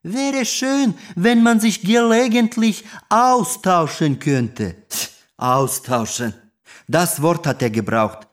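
An actor playing an angry, streetwise young gang member in a radio drama, crude, slangy and contemptuous. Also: male voice, high-pitched, slow pace. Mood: contemptuous, threatening.

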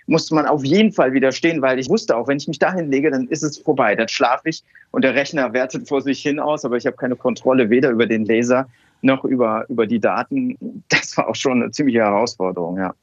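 A man's voice: mid-range voice, brisk, husky, no room sound, muffled recording. A man from Cologne, a scientist, telling stories in an interview with many fillers and restarts. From Cologne, Germany. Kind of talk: relaxed conversational interview.

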